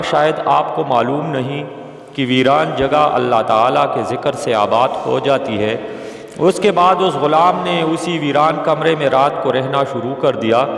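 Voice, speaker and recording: A male narrator reads a religious moral tale in a formal, measured way. His mid-pitched voice has a creaky, gravelly grain. The recording is heavily echoed, with a long reverberant tail. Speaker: narrator of Islamic moral stories. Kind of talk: scripted religious storytelling narration.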